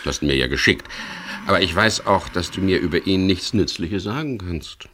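Casting: dry, guarded skipper-narrator for radio crime play; extremely low voice, lively melody. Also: male voice, moderate pace, a little hiss or hum. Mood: probing, dry. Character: wry, guarded boat skipper narrating his own crime story. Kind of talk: 1970s German radio crime drama, narration and dialogue.

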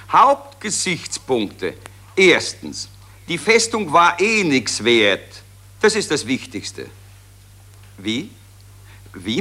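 A blustering Viennese army staff officer on the telephone in a satirical radio play. He is condescending and colloquial, drawing out his words slowly. His pitch swings widely and the tone is sharp and forceful. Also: full character voice. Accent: Austrian, Viennese. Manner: colloquial, condescending, haltingly repeating the other side.

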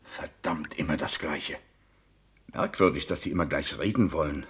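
An actor playing a callous, impatient scientist doctor in a science-fiction radio play, clinical and brusque in manner. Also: male voice, extremely low pitch, normal speed, fairly bright tone, slightly rough, exaggerated voice. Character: callous, impatient male scientist doctor, coolly self-justifying. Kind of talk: German science-fiction radio drama acting.

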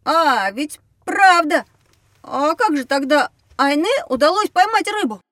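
A woman playing a curious, cheeky schoolboy in a lively, exclamatory way for a children's educational audio drama. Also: high voice, a little slow, no echo, clean studio sound, heavily acted. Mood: cocky.

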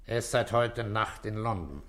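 An actor playing a persistent police detective in a German radio drama, probing in a terse, matter-of-fact way. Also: male voice, lowish voice, expressive, moderate pace, a bit bright. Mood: composed, firm. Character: persistent, composed male police detective questioning a suspect.

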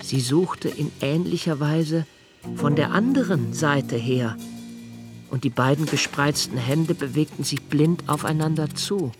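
An actor playing an introspective, haunted man who recalls his childhood in a literary radio play, with measured, precise phrasing and deliberate pauses. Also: a little high, loud music behind the voice, lightly acted. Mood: absorbed, uneasy. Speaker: radio drama narrator. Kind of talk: literary first-person radio play narration.

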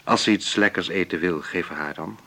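A kindly, serious doctor in a radio play. His voice is deep and firm-edged, and he speaks in measured phrases, blunt but gentle. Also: vocal fry, exaggerated voice. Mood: gentle, resigned. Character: grave, kindly family doctor, male. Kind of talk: naturalistic radio drama acting.

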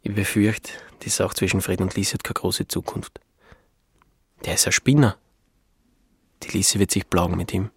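An actor playing a down-to-earth, laconic Alpine nature lad in a radio play, speaking colloquial Austrian dialect. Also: male voice, deep voice, fairly even intonation, moderate pace, long pauses, slightly rough, no room sound, clean studio sound, exaggerated voice. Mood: dry, relaxed.

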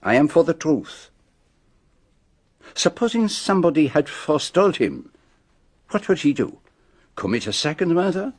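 An actor playing a shrewd, self-assured male detective with a French-speaking accent, speaking in measured, pompous reveals in a radio drama. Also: on the high side, very animated, slightly rough, no room sound. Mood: commanding.

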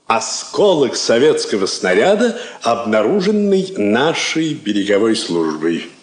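Stuffy officious diplomat, old stagey radio drama, very up and down voice, echoey. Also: male voice, mid-range voice, very animated, measured pace. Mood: officious, grave. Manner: ceremonious, emphatic, officious. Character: pompous, formal diplomat councillor delivering a grave protest. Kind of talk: Soviet stage-style radio drama acting.

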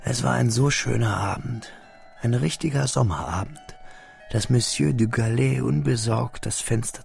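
Radio drama narrator: wistful young man, lower-pitched, gravelly, measured, sinking to near whispers. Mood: hushed wonder. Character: young man recalling a lost friend, wistful, restrained.

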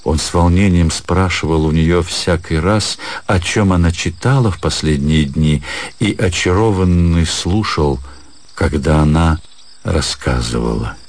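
Very deep male literary narrator. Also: a little slow. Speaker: narrator, actor reading a story. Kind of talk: scripted literary narration for radio drama. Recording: faint music behind the narration.

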